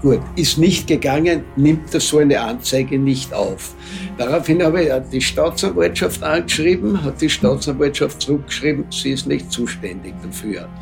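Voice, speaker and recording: A man's voice: a little high, normal speed, vocal fry, soft background music. A man, talking at length with frequent tag questions. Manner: talkative, adds tag questions. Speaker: interviewee, friend of victim.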